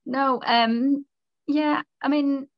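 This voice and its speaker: A woman's voice: sits high, steady tone, drawn-out words, dry recording. Greek-accented woman on a dull call line. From Greece. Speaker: panelist, entrepreneur. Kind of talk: online panel answers.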